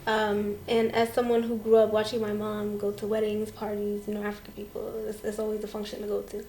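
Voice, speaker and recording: A woman's voice: mid-range voice, completely flat delivery, brisk, darkish tone, slight background noise, low-quality recording. A young woman from Portland, Maine, a makeup artist talking casually as an interview guest, with many fillers. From Portland, Maine, USA. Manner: chatty, many fillers, quotes others.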